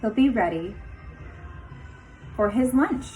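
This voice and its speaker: A woman kindergarten teacher reading a picture book aloud to young children in an expressive, instructive way, pausing for asides. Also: on the high side, soft background music, low-quality recording.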